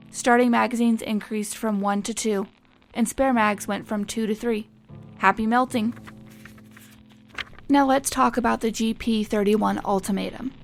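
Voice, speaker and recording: A woman's voice: on the high side, vocal fry. A woman playing a cheeky wartime tech correspondent giving a chatty, punchy, wry scripted radio update. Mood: brisk, cheeky. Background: faint music.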